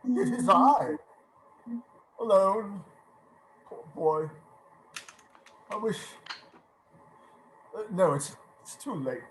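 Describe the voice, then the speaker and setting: Over faint noise comes an actor as a remorseful old man, a miser in a radio play. He speaks slowly and hesitantly, his pitch swooping as he turns from gruff to tremulous. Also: very animated, rough recording. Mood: regretful. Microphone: video call.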